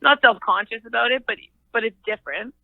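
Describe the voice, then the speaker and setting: Low-voiced woman on a phone line. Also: vocal fry, dry recording. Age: young adult. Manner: thoughtful, halting, many fillers and restarts. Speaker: singer-songwriter guest. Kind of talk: casual interview chat.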